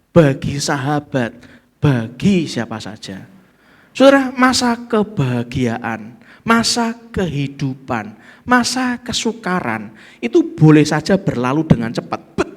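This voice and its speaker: A man with a Javanese accent preaches as pastor in a fairly high voice. He talks with emphasis, pressing his points and calling for amens. He slips local Javanese phrases into his sermon. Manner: emphatic, calls for amen.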